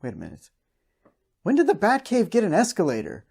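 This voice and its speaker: Man, somewhat raised pitch, recap show host, scripted comedic narration. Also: huge pitch swings, brisk, gravelly, darkish tone, husky.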